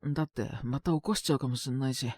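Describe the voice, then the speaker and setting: A man's voice: medium pitch, fast talker, darkish tone, no room sound, studio quality, close to an everyday voice. An actor playing a sick young man with a cough, grumbling politely to his senior in an intimate Japanese voice drama. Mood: reluctant, pleading. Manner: casual junior speech, mumbled reluctant replies, sighing. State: ill with a cold, frequent coughing fits. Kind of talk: Japanese boys' love situation voice drama, intimate one-sided dialogue.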